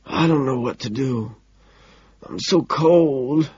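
A male audiobook reader acts out a meek, hungry homeless man with a raspy, husky voice. He speaks slowly and haltingly, pleading as if choked by sobs. The sound is slightly muffled. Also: fairly low pitch, darkish tone, no echo. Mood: pleading, tearful. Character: sobbing, starving homeless man, meek and broken.

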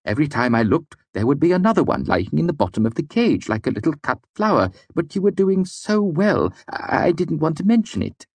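A man's voice: fairly low pitch, big pitch swings, moderate pace, a little mellow, breathy edge, no echo, voice pushed up, heavily acted. A man voicing a fretful portly manservant. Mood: tearful pleading. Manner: fretful, words tumbling out. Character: portly nervous male manservant, anxious and sincere.

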